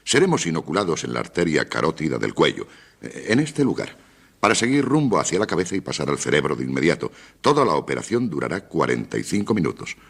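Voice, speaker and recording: An actor in a radio drama playing an authoritative, methodical doctor with a gravelly, husky voice and a formal, measured way of explaining. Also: male voice, very deep voice, sing-song, exaggerated voice. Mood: methodical, explanatory. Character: authoritative male doctor briefing a surgical expedition, calm, methodical.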